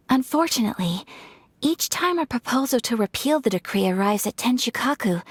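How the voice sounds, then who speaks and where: A woman playing a refined, composed young noblewoman in a video game, speaking in a formal, measured, polite way with a soft voice. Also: on the high side, breathy edge, no room sound. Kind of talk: video game dialogue acting.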